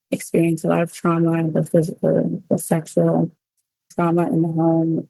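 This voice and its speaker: She speaks with a slightly low voice that often drops into creak, and her pitch stays fairly flat. She is a woman sharing her own story in an interview, reflective and informal, pausing as she finds her words. She comes from Cameroon and later the United States. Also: dry recording, poor audio. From Cameroon, then the United States. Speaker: interview guest, cookbook author. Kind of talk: personal storytelling in a conversational interview.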